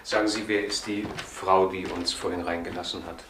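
An actor playing a calm, probing police detective in a German crime radio drama, matter-of-fact and persistent. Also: male voice, low-pitched, normal speed, noisy background, close to an everyday voice. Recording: roomy, echoing interior sound.

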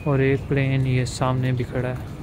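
A man's voice: medium pitch, completely flat delivery, normal speed. A man with a Pakistani Urdu accent walking and talking through a casual travel vlog, loose and chatty with frequent fillers. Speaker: travel vlogger. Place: outdoors at a large urban complex. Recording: loud outdoor noise behind voice. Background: loud urban street noise and traffic.

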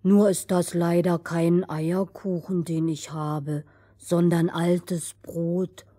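A woman's voice: on the low side, little pitch movement, takes it slowly, warm tone, husky. A woman voicing a simple, good-natured youngest son in a fairy tale, earnest and plain. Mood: kindly.

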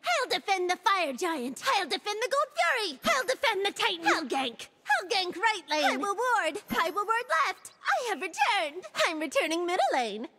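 A woman's voice: very high voice, very animated, moderate pace, few pauses, bright, forceful tone, slight background noise, exaggerated voice. A woman voicing a cutesy, playful young goose-themed gorgon for a video game, punning in a sing-song, cheery manner. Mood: brisk, urgent. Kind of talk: video game character voice lines.

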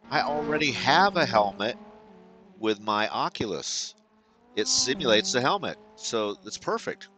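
A man's voice: fairly low pitch, noisy background. A man giving casual commentary to viewers while driving a racing sim at home, sometimes mumbling and trailing off as he adjusts settings.

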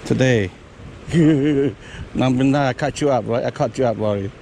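Guy hyped up calling out his catches by the river, keeps repeating himself, loud outdoor noise. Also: medium pitch, normal speed. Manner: excited running self-talk, repeats words. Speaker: fishing vlogger. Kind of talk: live commentary while fishing. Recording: outdoor recording with steady background noise.

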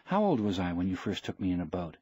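A man's voice: deep voice, big pitch swings, fast talker, mellow, breathy edge, dry recording, highs cut off, exaggerated voice. Man voicing an earnest, loyal young boy. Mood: earnest, eager. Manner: eager, respectful. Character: loyal, earnest, affectionate boy fishing apprentice.

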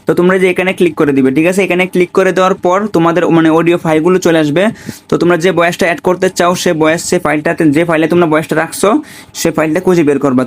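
Very fast, high-voiced man. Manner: repetitive, with frequent check-in tags. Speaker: gaming tutorial creator. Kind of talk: informal step-by-step screen tutorial narration.

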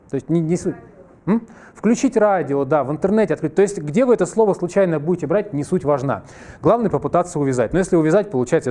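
Fast-talking man with a slight cold. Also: fairly high pitch. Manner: lively, conversational, jokes with the audience. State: slightly ill, clears throat. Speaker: workshop presenter. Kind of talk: live masterclass lecture. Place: large wood-panelled hall with a screen. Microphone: headset mic.

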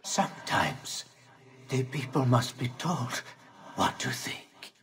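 An actor playing a bitter, domineering male henchman of a tyrant in a video game, with a haughty, deliberate delivery. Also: medium pitch, very animated, fairly bright tone. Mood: dying, bitter. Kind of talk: video game villain acting.

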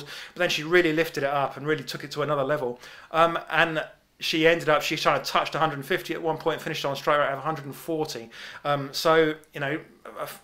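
A man's voice: fairly high pitch, pushed and bright. A man, co-hosting a casual sports podcast and explaining things in loose, approximate terms. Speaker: podcast co-host and analyst.

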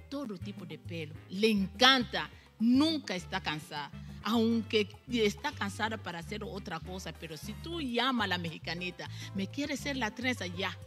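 A woman, a salon owner who is the guest on a studio talk show. Her mid-pitched voice rises into bursts of emphasis, and she speaks into a handheld mic. Faint music plays under the set. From Congo, raised in Cuba.

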